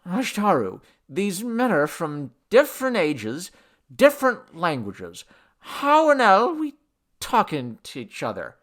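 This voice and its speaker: A man voicing an excitable, exultant young scholar in a story, eager and babbling. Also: on the high side, unhurried, few pauses, pitched above the performer's own voice, exaggerated voice. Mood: baffled urgency. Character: excitable, exultant male archaeologist in love.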